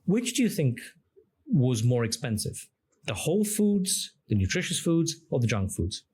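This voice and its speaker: Husky-voiced man explaining at length. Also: mid-range voice, big pitch swings, moderate pace, a little mellow. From Greek-speaking. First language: Greek. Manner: expansive, explains with questions and examples. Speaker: podcast guest, engineer and app founder. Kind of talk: conversational interview.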